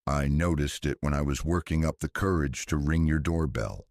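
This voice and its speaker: A man voicing an earnest, guarded researcher, a woman in her mid-fifties, speaking quietly and seriously in an audio drama. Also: rumbling low, normal speed, no echo. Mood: quiet, probing.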